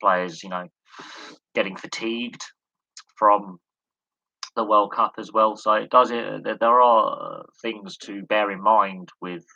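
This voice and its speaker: Bright-toned man, hoarse and rambling. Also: lowish voice, steady tone, a little slow, highs cut off. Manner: rambling, full of fillers and repeated words. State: losing his voice, coughing. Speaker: sports commentator answering questions. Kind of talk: live-stream sports discussion.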